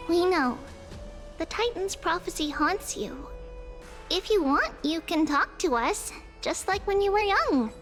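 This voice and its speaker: A woman playing a small, childlike, caring companion in a video game, soft and comforting. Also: very high-pitched, lively intonation, a little slow, faint music behind, exaggerated voice. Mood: tender concern.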